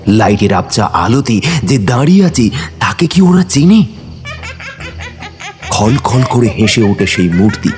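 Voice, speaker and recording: A man reads a scripted horror story in a low, steady voice, building suspense with dramatic pacing. Faint music plays quietly beneath him. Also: a little slow, pauses often. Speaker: narrator.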